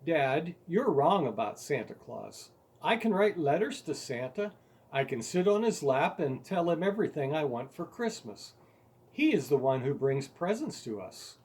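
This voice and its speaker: A man's voice: fairly high pitch, very animated, normal speed, breathy edge, exaggerated voice. Man reading as a stubborn, indignant little boy. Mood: indignant, insistent. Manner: insistent, pleading, growing louder and more emphatic. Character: stubborn, indignant eight-year-old boy in a storybook.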